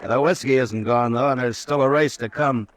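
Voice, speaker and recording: An actor playing a steady frontier marshal in a 1950s radio drama, speaking with calm, measured authority. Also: male voice, mid-range voice, no room sound, close to an everyday voice. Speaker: town marshal.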